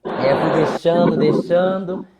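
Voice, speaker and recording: A man hosting a casual live interview, friendly and chatty, often tagging questions with a quick filler. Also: on the high side, over a noisy crowd, rough recording. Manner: friendly, laughs often, says né a lot. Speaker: psychologist host of the live.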